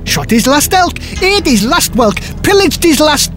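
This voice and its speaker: A wheedling Viking sidekick, put on by a male storyteller. He speaks high and singsong, swinging through exaggerated mock-innocence like a sly storybook rogue. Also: very animated, measured pace, a bit bright, soft background music, full character voice. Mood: mock mournful.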